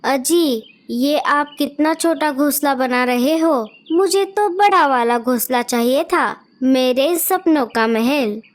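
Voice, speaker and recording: A woman's voice: high voice, slow, hardly any pauses. Woman doing a tart, scolding bird sister-in-law. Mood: teasing. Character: sharp-tongued female bird, sister-in-law.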